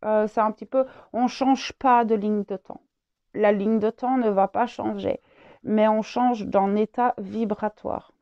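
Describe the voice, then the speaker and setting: French lady with a cold, channeling, slow and halting, kinda muffled lo-fi sound. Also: medium pitch, steady tone, dark tone, clear, resonant tone. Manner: hesitant, many fillers and repeated words. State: has a head cold. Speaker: spiritual channeler. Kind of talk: channeled spiritual message to viewers.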